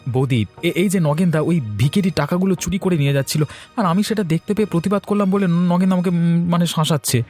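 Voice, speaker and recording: A man's voice: high-pitched, quick pace, a little mellow, lightly acted. Man acting earnest young villager, music behind. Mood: flustered. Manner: accusing, emphatic. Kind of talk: cartoon folk-tale acting.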